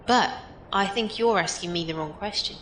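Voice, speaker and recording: A woman's voice: normal speed, a bit bright, close to an everyday voice. A woman playing a breezy, blunt, tough female teammate in an audio drama, talking in a casual, folksy, direct way. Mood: blunt, earnest. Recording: echoey room with faint noise, rumble.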